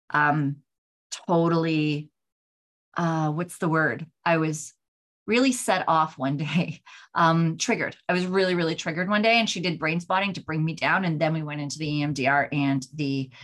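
A woman in her forties talks on her own podcast in a candid, rambling way. Her voice sits a little low. She keeps restarting and filling her sentences, and her pace swings between slow and hurried. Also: fairly bright tone. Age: 40s. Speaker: podcast host. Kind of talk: casual solo podcast talk.

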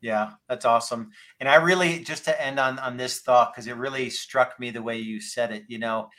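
A man around fifty hosting a casual podcast interview, speaking in an earnest, rambling and halting way. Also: medium pitch, fairly even intonation, sharp tone. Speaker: podcast host.

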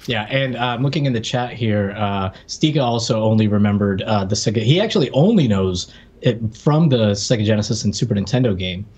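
A man's voice: lowish voice, lively intonation, a little fast, a little mellow, slightly rough, lo-fi sound. A man co-hosting a podcast, chatting casually and passing along comments from listeners. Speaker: podcast co-host. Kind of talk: casual chat, relaying live chat comments.

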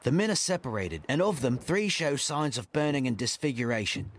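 A man's voice: mid-range voice, lively intonation, a little fast, a little hiss or hum, natural voice. A man playing a loyal, dutiful first officer on a sailing ship in an audio drama, formal and deferential in manner. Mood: anxious, grave. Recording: loud ship and sea ambience behind.

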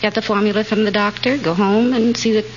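A woman's voice: medium pitch, fairly even intonation, a little fast. A middle-aged woman from Pennsylvania giving brief, matter-of-fact answers as a contestant on an old radio quiz. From Pennsylvania, United States. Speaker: quiz show contestant, baby nurse. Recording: muffled, very noisy old radio recording.

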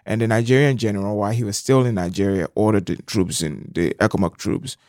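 A man's voice: fairly low pitch, steady tone, fairly quick, a little mellow, dry recording, clean studio sound. A middle-aged man from Liberia, explaining things conversationally as an interview guest. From southeastern Liberia.

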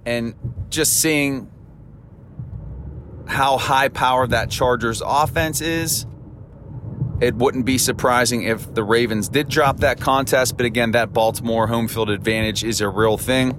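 A man's voice: medium pitch, steady tone, measured pace, background noise. Upbeat man hosting a sports podcast. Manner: upbeat, chatty analysis with a cheerful sign-off. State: voice temporarily husky and lower than his norm. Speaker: podcast host. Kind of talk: solo sports podcast commentary.

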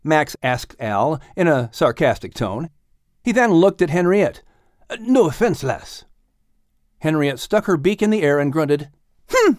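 A man narrating a children's story audiobook in an expressive, scripted storytelling style. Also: on the high side, normal speed, dry recording, studio quality.

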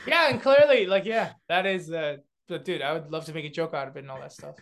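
Korean comic guest, young guy, high voice, animated storyteller with lots of restarts. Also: fast, creaky. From South Korea. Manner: animated, many restarts and fillers, acts out voices. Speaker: guest, comedian and beatboxer. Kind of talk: casual chat.

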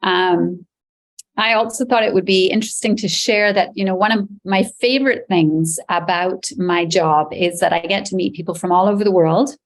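A woman's voice: fairly low pitch, normal speed. A woman in her forties, a real estate agent, answering interview questions in a chatty way with frequent ums, on a video call from a beachfront apartment. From Barbados.

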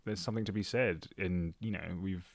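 A man's voice: low-pitched, fairly quick, creaky, slight background noise. A man co-hosting a podcast in casual chat, with an informal, rambling way of talking. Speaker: podcast co-host.

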